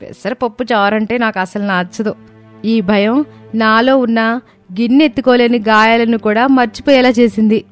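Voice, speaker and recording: A woman's voice: on the high side, steady tone, normal speed, faint music behind. Woman reading storybook dialogue. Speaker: storyteller voicing all characters.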